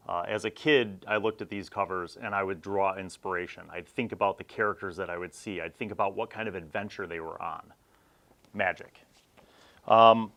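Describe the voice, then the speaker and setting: A man in his forties hosting a casual, unscripted gaming review, talking reflectively and conversationally in short phrases with pauses. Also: on the low side, fairly bright tone. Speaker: host of a vintage tabletop gaming review series.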